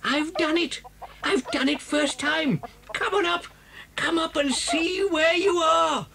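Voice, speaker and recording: A man playing a clever, boastful, commanding father fox in an animated, theatrical dramatised children's storybook tape. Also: very high voice, slow pace, creaky, full character voice. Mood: triumphant, boastful.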